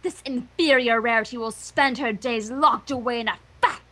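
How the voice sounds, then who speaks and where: A woman's voice: fairly high pitch, normal speed, a bit bright, natural voice. A woman voicing a vain, haughty, scheming female fashion pony with grandiose, declamatory delivery in a fan fiction reading. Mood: gloating.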